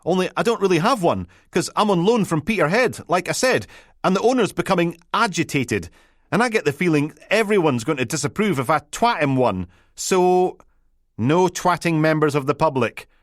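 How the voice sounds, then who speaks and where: A man's voice: on the high side, expressive, normal speed, no echo, studio quality, pitched above the performer's own voice, close to an everyday voice. A man voicing an eager young female constable who rambles breathlessly. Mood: flustered.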